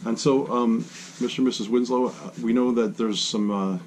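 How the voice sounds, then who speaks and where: A man's voice: medium pitch, steady tone, fairly quick, a little mellow, slight background noise. A man conducting an interview, asking questions hesitantly with many fillers. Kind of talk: casual interview.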